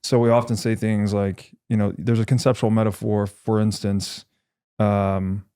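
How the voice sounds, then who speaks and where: A man's voice: fairly low pitch, level tone, moderate pace, dark tone, clear, resonant tone. Thoughtful man reasoning aloud on a podcast. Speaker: podcast host. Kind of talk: conversational philosophical explaining.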